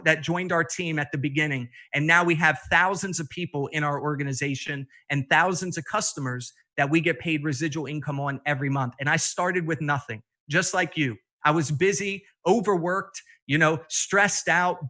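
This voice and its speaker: Bright man hosting a sales pitch. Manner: persuasive, loose and conversational. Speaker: business presenter and meeting host. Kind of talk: motivational pitch.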